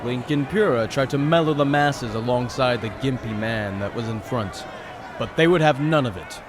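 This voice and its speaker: A man's voice: medium pitch, moderate pace, crowd noise. A man narrating a scripted fantasy story in a dramatic storytelling style.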